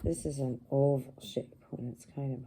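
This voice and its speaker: A woman teacher reading a picture book aloud to young children, pausing to explain things simply and ask them questions. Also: very deep voice, fairly even intonation, dark tone, a little hiss or hum.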